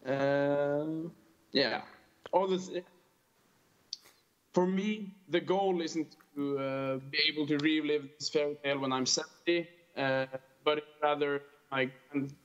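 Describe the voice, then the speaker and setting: A man's voice: a little high, fairly bright tone. A man in his early twenties with a Norwegian accent, earnestly giving a prepared conference presentation. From small island in northern Norway. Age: early 20s. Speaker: fishing tourism operator, panel speaker. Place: remote call into a conference. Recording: dull lo-fi video call audio.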